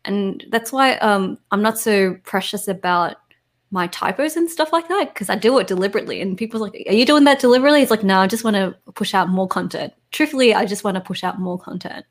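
A woman's voice: mid-range voice, expressive, brisk. A woman with a mid-range voice talks as a guest in a casual interview. She is frank and informal, and often corrects herself partway through a thought. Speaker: interview guest, online content creator.

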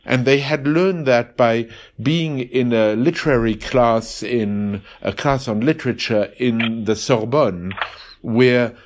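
A man's voice: medium pitch, slow pace. A man hosting an interview podcast, asking long, meandering, thoughtful questions. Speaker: podcast host. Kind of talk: interview on a phone call.

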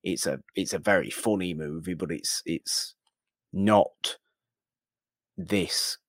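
Deep-voiced man co-hosting a movie podcast. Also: slow pace, a bit bright, no room sound, clean studio sound. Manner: emphatic, repeats words and phrases. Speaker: podcast co-host. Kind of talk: casual movie discussion.

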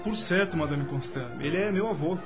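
A man acting a courteous, inquisitive and romantic young gentleman in a period radio drama, formal in manner, with a Brazilian accent. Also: a little high, animated, brisk. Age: young adult. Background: music.

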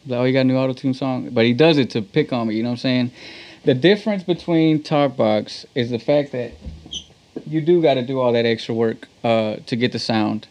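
A man's voice: medium pitch, normal speed, darkish tone. A man from Texas with an American accent, chatting casually as an interview guest and explaining things in an enthusiastic, slangy way. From Texas, United States. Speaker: guest, musician.

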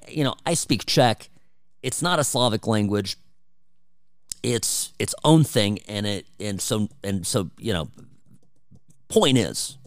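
A man's voice: mid-range voice, no room sound, clean studio sound. A man hosting a talk radio show, delivering an opinionated, emphatic and conversational monologue. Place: radio studio.

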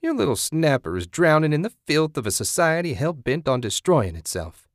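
A man voicing a menacing, sanctimonious, depraved woman in an audiobook, with a raspy, taunting delivery. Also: on the high side, big pitch swings, no echo, studio quality, voice pushed up. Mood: zealous, sinister. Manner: taunting, sinister, drawn-out threats. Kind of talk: dramatic audiobook character voice.

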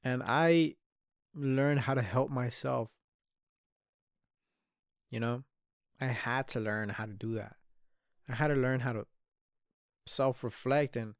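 Young guy in his twenties podcasting alone, open and sweary, lots of restarts, smooth clean voice, dry close sound. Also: mid-range voice, no room sound. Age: 20s. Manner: candid, swears often, trails off and restarts. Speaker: podcast host. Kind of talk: solo reflective podcast monologue.

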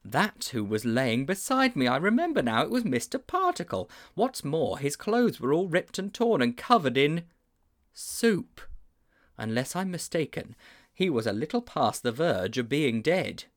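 A man's voice: a little high, very animated, measured pace, pitched above the performer's own voice. A man voicing an earnest, slightly pompous schoolboy with precise, formal phrasing in an audiobook. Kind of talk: audiobook character voice.

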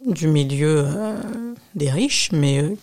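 A woman with an accent from France speaks in a fairly low voice. She is an author being interviewed, and she talks informally and candidly, putting firm emphasis on her points. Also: slow, darkish tone. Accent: French from France. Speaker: guest, author. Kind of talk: conversational interview answers.

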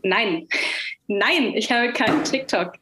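Young woman, Franconian German accent, chatty, relaxed filler-heavy talk, podcast mic. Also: on the high side, slow, background noise. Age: young adult. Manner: chatty, frequent fillers, laughs easily. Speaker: musician guest. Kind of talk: casual interview chat.

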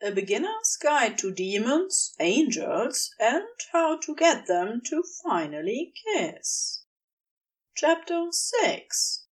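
A woman narrates fiction in a fairly high voice with very animated intonation. She reads at an unhurried pace and sounds a little distant from the mic. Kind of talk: scripted story narration.

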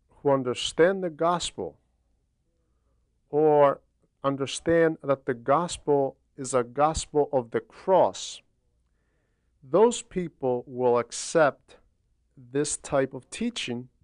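A man reading a religious homily aloud in a deliberate, teacherly manner, pausing often between phrases. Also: mid-range voice, dry recording, clean studio sound. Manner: deliberate, didactic, with frequent pauses between phrases. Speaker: homily reader and translator.

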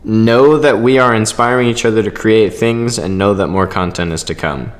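A man's voice: fairly low pitch, moderate pace. A man co-hosting a podcast, rambling casually and jokily in informal, sweary talk, recorded with echoing reverb. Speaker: podcast co-host. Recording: echoey hall-like reverb.

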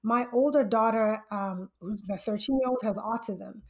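A woman from Zambia, a guest on a podcast, telling her story in a lively way and laughing often. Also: medium pitch, normal speed. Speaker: podcast guest, full-time stock trader. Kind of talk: casual interview storytelling.

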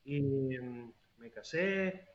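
A man from Honduras, a guest answering interview questions casually with soft hesitations. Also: medium pitch, expressive, extremely slow. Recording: dull, roomy remote call audio.

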